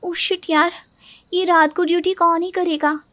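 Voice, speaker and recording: A woman voicing a lively, easily upset young working daughter-in-law in a cartoon story. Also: very high voice, moderate pace, no echo, pitched above the performer's own voice. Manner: expressive, complaining and pleading.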